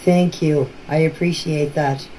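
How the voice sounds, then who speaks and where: A woman's voice: low voice, steady tone, a little slow, mellow. Middle aged lady leaving blunt insistent voice messages, repeats herself, bit of noise on the recording. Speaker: local resident answering questions in a group chat. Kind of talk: informal voice messages. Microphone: voice messages recorded for a group chat. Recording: noisy amateur voice-message clips.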